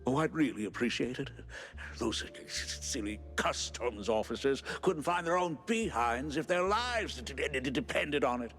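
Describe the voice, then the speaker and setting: A man's voice: mid-range voice, animated, normal speed, fairly bright tone, faint music behind. A man voicing a flustered, pompous rodent merchant in a 1930s-style cartoon game, stammering in a genteel, bumbling way. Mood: flustered, pleading.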